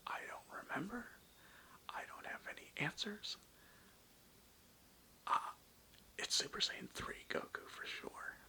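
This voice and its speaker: A man's voice: unhurried, pauses often, sharp tone. A man whispering a casual, rambling, slangy ASMR monologue, close to the microphone. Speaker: ASMR video creator. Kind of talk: whispered ASMR ramble about old toys.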